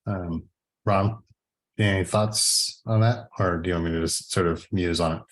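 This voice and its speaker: A man in his forties with a very deep voice hosts a work call. He moves the meeting along in a casual style. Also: steady tone, moderate pace. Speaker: meeting host. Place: video call.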